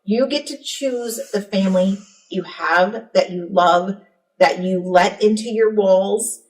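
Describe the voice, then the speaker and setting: A woman's voice: medium pitch, slow. Frank, candid woman in an echoey room. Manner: frank, candid, swears casually. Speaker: podcast host, therapist. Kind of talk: casual chat. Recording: roomy, distant from the mic.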